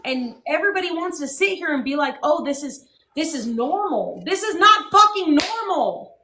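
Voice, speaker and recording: A young woman with a high, bright voice rants heatedly and swears freely. She hammers her points home on a livestream. The voice rings in an echoey room. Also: sing-song, normal speed, slight background noise. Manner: profane, ranting, emphatic. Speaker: livestream host.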